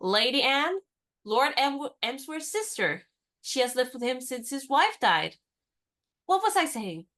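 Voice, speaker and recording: An actress in a radio play playing a spirited young woman disguised as a lady's maid, talking in a brisk, teasing, knowing way. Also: high voice, big pitch swings, fairly bright tone, exaggerated voice.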